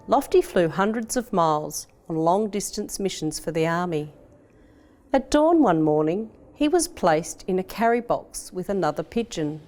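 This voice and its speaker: An Australian woman reads a picture book to children. Her voice sits slightly on the low side for a woman, and her delivery is measured and expressive. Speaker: education officer reading a picture book. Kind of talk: children's storytime read-aloud.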